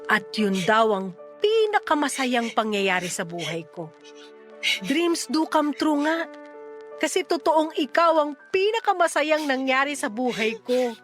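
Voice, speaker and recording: A woman's voice: high-pitched, measured pace, soft background music. A Filipino woman acting as a playful, fiercely protective single mother around forty in a melodramatic radio drama. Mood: tender, reassuring. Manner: animated, teasing, exclamatory.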